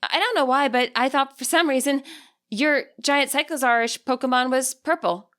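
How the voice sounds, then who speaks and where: A woman's voice: sits high, normal speed, a bit bright, pitched above the performer's own voice. A woman voicing an eager, friendly teenage girl battler in an audiobook, perky, curious and earnest.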